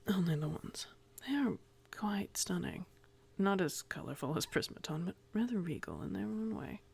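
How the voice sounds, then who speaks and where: A soft-spoken, reverent man voiced by a woman in a tabletop roleplay. The voice is breathy and nearly whispered, with a heavy fry. He halts mid-thought as he searches for words. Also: fairly low pitch, expressive, exaggerated voice. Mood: quiet admiration. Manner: hushed, halting, pausing mid-thought.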